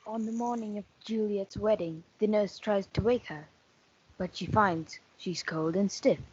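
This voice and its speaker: A young woman reads stage-play narration at a medium pitch. The recording is dull, with clearly audible noise. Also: unhurried, darkish tone.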